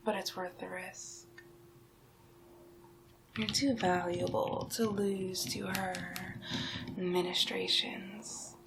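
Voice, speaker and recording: A woman playing a sly, possessive medieval witch in a hushed, drawn-out, teasing ASMR roleplay. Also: on the low side, level tone, vocal fry, noisy background. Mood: coaxing. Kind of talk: ASMR roleplay, soft speaking and whispering.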